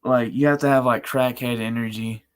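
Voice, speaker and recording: Man, monotone delivery, smooth clear tone, laid-back, slangy, rambling casual livestream chat. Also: medium pitch, moderate pace. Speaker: live streamer.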